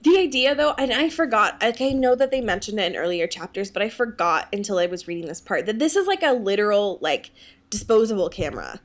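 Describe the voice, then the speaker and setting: A woman's voice: mid-range voice, lively intonation, a little fast. A woman co-hosting a podcast, chatting casually about a book in an informal, slangy, giggly way with free swearing. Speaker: podcast co-host. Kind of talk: casual book discussion chat.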